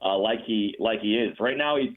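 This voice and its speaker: A man's voice: fairly low pitch, moderate pace. A man calling in as a guest, talking through stats in a chatty, fact-heavy way with lots of restarts. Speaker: radio play-by-play announcer, guest. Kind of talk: casual radio interview. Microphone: phone line. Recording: tinny phone line.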